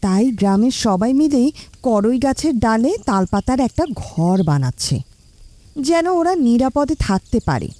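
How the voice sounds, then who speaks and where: A woman playing a kindly, practical village mother who gently encourages her child in an animated moral story. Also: a little high, normal speed. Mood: caring, encouraging.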